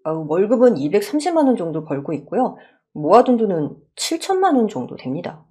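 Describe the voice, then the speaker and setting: A shy young working man, played by a woman in a radio story drama. He is polite, hesitant and earnest, with a husky tone and lively swings in pitch. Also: brisk. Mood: polite, nervous. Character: shy, earnest young male repairman in love.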